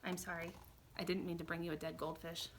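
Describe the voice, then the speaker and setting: A woman reading a storybook aloud, voicing an eager-to-please male animal shelter keeper in a slightly lowered voice. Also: flat delivery, quick pace, slight background noise, close to an everyday voice. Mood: apologetic.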